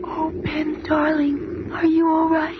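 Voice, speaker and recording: An actress playing a gentle, devoted young woman in a 1970s radio drama, speaking with breathless tenderness. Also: high-pitched, monotone, slow, loud music behind the voice. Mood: tender concern. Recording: muffled, very noisy vintage radio recording.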